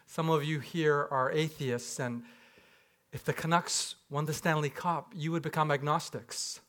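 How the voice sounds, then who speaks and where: A man's voice: on the high side, fairly even intonation, measured pace, fairly bright tone. A man from Japan, a pastor, giving a conversational sermon with rhetorical questions and light humor in a church. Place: church sanctuary.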